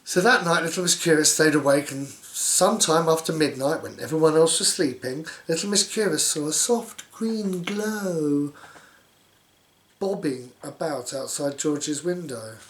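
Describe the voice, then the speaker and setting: A man's voice: fairly high pitch, unhurried, a bit bright, reverberant, slight background noise. A man reading a children's story aloud, dropping in sarcastic, mocking asides as he goes. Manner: sarcastic, mock-commentating on the story. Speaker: storybook reader.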